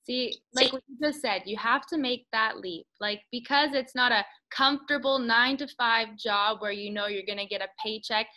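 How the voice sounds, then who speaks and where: A woman hosts a country music interview with a bright, slightly high voice. She chats eagerly, sums up her guest's answers, and throws in puns. Her delivery is lively and talkative. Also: normal speed, hardly any pauses. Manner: chatty and enthusiastic, fond of puns. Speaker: interview host. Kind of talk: upbeat podcast interview.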